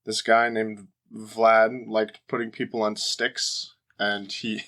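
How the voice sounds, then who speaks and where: A man's voice: lowish voice, flat delivery, takes it slowly, bright, forceful tone. A man co-hosting a podcast, talking in a loose, jokey, rambling way with some casual swearing. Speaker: podcast co-host. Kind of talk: casual chat, rambling storytelling.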